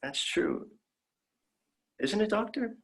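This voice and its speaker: A man's voice: sits high, lively intonation, slow pace, a bit bright, full character voice. A young man playing a naive, idealistic alien youth in a dramatic reading, earnest and prone to stammering. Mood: hopeful, idealistic. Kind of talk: dramatic fan fiction reading.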